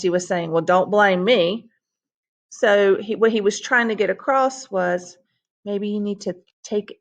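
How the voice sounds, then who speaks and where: A woman's voice: mid-range voice, vocal fry, a little mellow, highs cut off. A woman teacher reading a folktale aloud to students and stopping to explain words with patient restarts.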